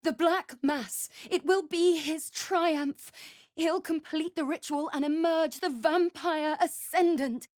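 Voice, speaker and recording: Earnest, slow-speaking vampire sister. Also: female voice, sits high, steady tone, a little mellow, no room sound, studio quality. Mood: reverent. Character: female vampire spawn, earnest, anxious, loyal sister. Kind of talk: dramatic video game voice acting.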